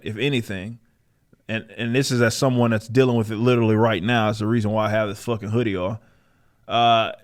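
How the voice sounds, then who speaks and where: A man giving casual, opinionated podcast commentary in a combative, emphatic manner with plenty of swearing. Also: lowish voice. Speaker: podcast host.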